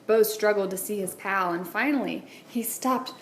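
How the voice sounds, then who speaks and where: A woman's voice: mid-range voice, normal speed. Woman reading a storybook, echoey room. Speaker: teacher reading aloud. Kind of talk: children's storybook read-aloud. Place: indoors at a wooden table, book on camera. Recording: roomy echo, clear background noise.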